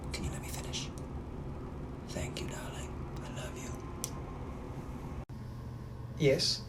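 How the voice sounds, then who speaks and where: A young man putting on a British accent to play a suave, menacing nineteenth-century gentleman, talking smoothly, often half-whispered, and calling everyone darling. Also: on the high side, noisy background, lightly acted. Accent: British, put-on. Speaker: sketch creator. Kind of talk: POV comedy sketches.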